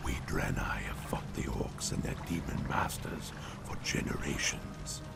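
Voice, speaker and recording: Very deep, raspy alien elder. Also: male voice, expressive, unhurried, in a whisper, over loud music, heavily acted. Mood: solemn. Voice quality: gravelly, breathy rasp. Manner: solemn, weighty delivery. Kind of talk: dramatic video game fantasy acting. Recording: loud ambient noise over the voice.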